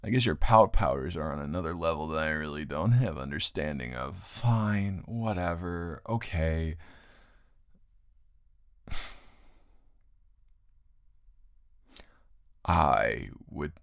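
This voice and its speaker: A man playing a grumpy, tired young male roommate who is secretly smitten, in a halting, exasperated, intimate improvised audio roleplay. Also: expressive, no room sound, full character voice. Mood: awkward, confessing. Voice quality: very deep, gravelly, close and dry.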